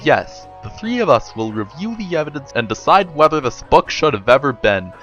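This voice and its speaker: A man's voice: on the high side, very animated, moderate pace, a bit bright, dull audio, soft background music. A man voicing an articulate, vengeful pony who explains things with authority in a storybook reading. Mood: solemn, judging. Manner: formal, explanatory, occasionally profane.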